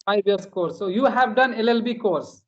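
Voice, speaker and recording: High-voiced man, hesitant and repetitive. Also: moderate pace, dull audio. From India. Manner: hesitant, repeats phrases. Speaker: host. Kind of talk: casual English practice conversation.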